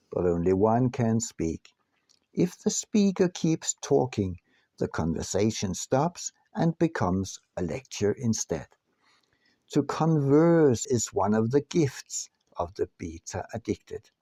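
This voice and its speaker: Guy narrating his own book, measured and pausing a lot, really lively pitch swings, close dry sound. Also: no echo. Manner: deliberate, measured, with frequent pauses. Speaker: author reading own book aloud. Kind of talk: scripted audiobook narration.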